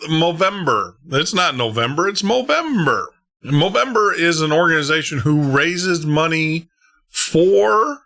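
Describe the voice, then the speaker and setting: A man's voice: a little high, sharp tone. A man hosting a late-night radio show and podcast, chatting casually, rambling and laughing at himself. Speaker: host.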